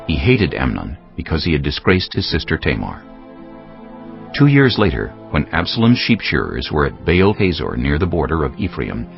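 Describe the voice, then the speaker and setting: A man's voice: rumbling low, vocal fry. A man solemnly narrating a dramatized scripture story from a script. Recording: slightly dull audio with music bed.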